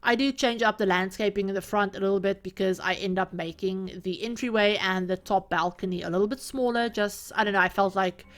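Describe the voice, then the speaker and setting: A woman's voice: medium pitch, steady tone, brisk, hardly any pauses, a bit bright. A woman hosting her own channel, narrating a casual voiceover over gameplay from a room at home with the door open. She talks in a chatty, rambling way, full of "like" and little laughs. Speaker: video creator and host.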